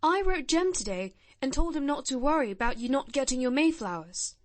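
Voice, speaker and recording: A woman's voice: sits high, expressive, brisk, no echo. Woman doing an earnest, solemn little boy. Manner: grave, blunt, shy childlike earnestness.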